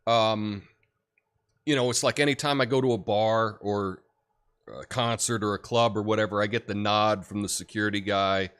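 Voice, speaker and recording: A deep-voiced man hosting a livestream, chatting casually and reading viewer comments with lots of fillers. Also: normal speed, smooth. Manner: rambling, relaxed, many fillers and restarts. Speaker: livestream host.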